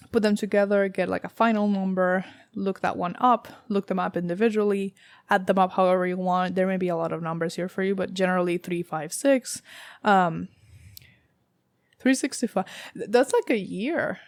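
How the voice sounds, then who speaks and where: A young woman with a raspy, sore-throated voice, giving a casual tarot reading in a rambling way, full of fillers and the odd swear word. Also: mid-range voice, no echo. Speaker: tarot reader.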